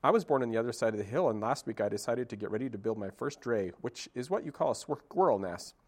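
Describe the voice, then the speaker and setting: A man's voice: mid-range voice, expressive, fast, darkish tone, lightly acted. A man voicing a nervous, chatty young female squirrel who rambles on without a breath, in a storybook reading.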